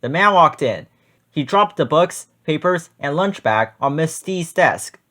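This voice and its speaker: A man reads a children's book aloud as narrator. His voice is on the high side for a man and moves up and down with a very animated, expressive delivery. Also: measured pace. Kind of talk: read-aloud storybook narration.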